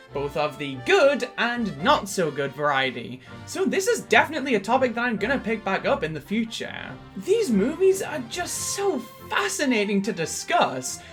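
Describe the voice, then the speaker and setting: A woman's voice: medium pitch, fairly bright tone. A woman giving scripted video review commentary, with emphatic, dramatic stress on key words. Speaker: video essayist reviewing films.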